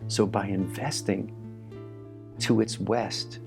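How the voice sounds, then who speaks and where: A man's voice: fairly low pitch, fairly even intonation, slow pace, vocal fry. A man answering as an expert guest in a sit-down interview, explaining thoughtfully with the odd filler. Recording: interview with music underneath.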